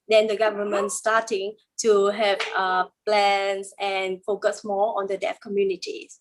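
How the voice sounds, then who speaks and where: A woman interpreting a deaf guest's answers aloud in an interview, in plain careful phrasing with frequent pauses and fillers. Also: mid-range voice, slight background noise, low-quality recording. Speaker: sign language interpreter voicing a deaf guest.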